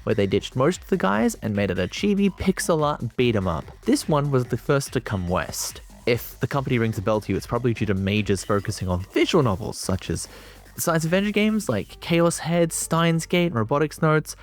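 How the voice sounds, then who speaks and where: A man's voice: medium pitch, big pitch swings, normal speed. A man reading a scripted video game review as a voice-over, with colloquial, wry and opinionated phrasing. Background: music behind the voice.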